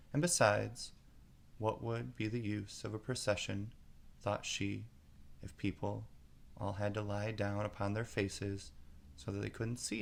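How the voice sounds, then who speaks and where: A man's voice: fairly low pitch, vocal fry. A man narrating a children's storybook as a read-aloud.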